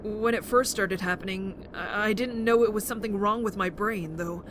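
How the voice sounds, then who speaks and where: A woman's voice: medium pitch, moderate pace, lightly acted. A woman voicing a nervous but wry, friendly teenage boy in an audio drama, hesitant and prone to stammering. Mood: anxious. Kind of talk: fan audio drama acting. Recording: noisy ambience behind the voice.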